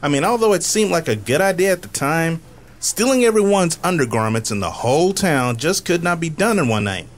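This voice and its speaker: Man acting a nerdy gadget maker, raised pitch, expressive, wordy, comedic radio drama. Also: brisk, close to an everyday voice. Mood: matter-of-fact. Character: nerdy, competitive gadget-making brains of the misfit gang.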